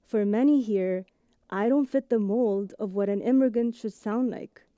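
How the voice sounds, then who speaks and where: Mellow-voiced woman with a blended accent. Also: medium pitch, dry recording. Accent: blend of British and American English with Egyptian and Italian touches. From Egypt. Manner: measured, reflective narration. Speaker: audio journalist. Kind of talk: scripted first-person radio essay.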